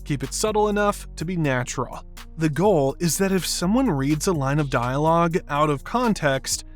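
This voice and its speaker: A man reading scripted video-essay narration in an emphatic, opinionated way. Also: a little high.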